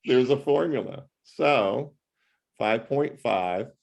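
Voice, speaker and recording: A man tutoring math one-on-one over a video call, talking loudly in an animated, joking way. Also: mid-range voice, takes it slowly, gravelly. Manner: loud, animated and jokey.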